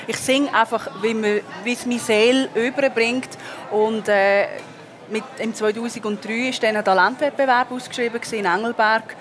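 A woman's voice: a little high, steady tone, normal speed, fairly bright tone, soft background music. A woman in her thirties with a Swiss German accent, a singer answering interview questions in a chatty way with many fillers. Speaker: guest singer.

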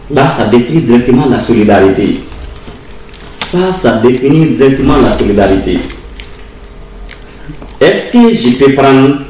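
Male interviewee, slow measured explainer, heard from afar in a reverberant space. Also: mid-range voice, darkish tone. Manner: slow, deliberate, repeats words while explaining. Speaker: interviewee, university teacher of German and English. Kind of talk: formal interview answers. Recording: roomy distant recording with faint ambient noise.

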